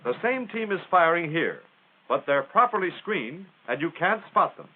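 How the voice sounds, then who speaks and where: A man's voice: a little high, big pitch swings, normal speed, background noise. Tinny 1940s American male training-film narrator. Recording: tinny, band-limited 1940s film soundtrack.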